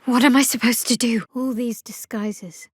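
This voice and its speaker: A woman voicing a guarded, wry young female cleric of a dark goddess in a video game, speaking in a measured, dry way on a close mic. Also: a little high, steady tone, slightly rough, no echo. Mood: troubled. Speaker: fantasy adventurer companion. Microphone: close, dry studio mic.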